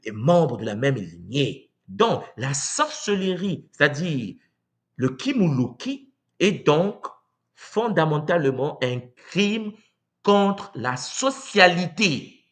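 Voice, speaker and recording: Animated Congolese man lecturing live. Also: a little high, slow. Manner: animated, rhetorical questions, acts out quoted voices. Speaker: speaker on spiritual tradition. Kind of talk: live talk addressing viewers. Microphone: livestream mic.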